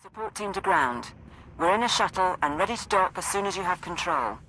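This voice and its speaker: A woman playing a composed military support officer in a video game, briefing in a brisk, matter-of-fact way. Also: fairly low pitch, big pitch swings, sharp tone, a little hiss or hum, heavily acted, poor audio. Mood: businesslike. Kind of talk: video game dialogue over comms.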